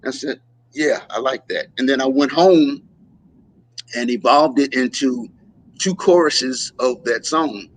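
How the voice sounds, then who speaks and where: A man, a musician guest in a casual interview, telling stories and acting out quotes with lively voices. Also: mid-range voice, a little slow, low-quality recording. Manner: animated storyteller, acts out quotes.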